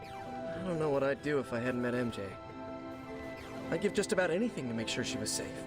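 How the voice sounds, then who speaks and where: A man's voice: medium pitch, animated, fairly quick, over loud music, close to an everyday voice. An actor playing a caring young male hero in a video game cutscene, talking earnestly about the people he loves. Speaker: game character.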